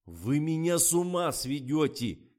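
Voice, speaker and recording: A man voicing an excitable, hot-tempered advert director in an audiobook, barking orders in blunt slang. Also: mid-range voice, takes it slowly, voice pushed up, natural voice. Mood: furious.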